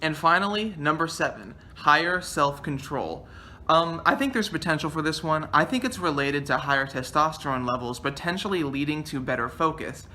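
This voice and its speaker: A man's voice: a little high, animated, moderate pace, bright, forceful tone, slight background noise. A man in his twenties talking casually to camera from a small bedroom, earnest and thinking aloud with frequent ums. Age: 20s. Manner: gestures a lot with his hands. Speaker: vlogger.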